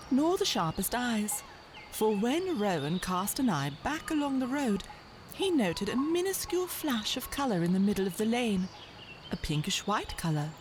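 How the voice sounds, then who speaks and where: A woman narrating a classic storybook tale in a measured, literary way. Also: on the high side, background noise. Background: music.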